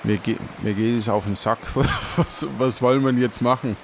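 A man's voice: medium pitch, fairly even intonation, moderate pace. Man improvising over noisy chirping ambience. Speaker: player and narrator in improvised adventure play. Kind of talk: casual improvised audio-play banter.

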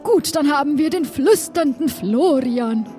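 A woman playing an excitable, flustered female pirate crew member in an improvised audio play, talking theatrically with halting exclamations. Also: high-pitched. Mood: excited, chatty. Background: background music.

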